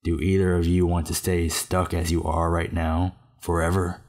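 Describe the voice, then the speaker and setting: A man playing a grave, gentle older man in an amateur audio drama, solemn and earnest in a measured way. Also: low voice, monotone.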